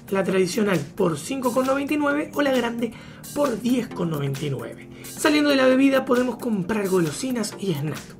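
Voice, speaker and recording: A woman narrating a travel vlog voice-over, briskly listing supermarket prices one after another. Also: fairly high pitch. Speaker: travel vlogger. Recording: voice-over with music underneath.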